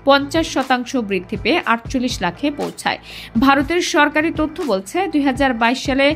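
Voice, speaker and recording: A woman with a Bangladeshi accent reads scripted news in a formal, measured manner. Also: sits high, expressive, a bit bright. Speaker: news presenter. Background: music under the voice.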